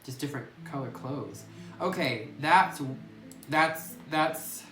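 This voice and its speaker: A man's voice: fairly high pitch, animated, slow pace, bright, forceful tone. A man casually commenting as he plays a game, musing with hesitant restarts. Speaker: game streamer. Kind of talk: let's play commentary. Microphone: phone mic away from speaker. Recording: roomy distant phone recording with music.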